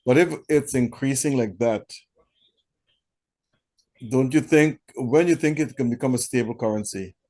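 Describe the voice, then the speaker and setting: A man hosting an interview, asking measured questions and repeating key points back. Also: mid-range voice, dark tone. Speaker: interviewer host.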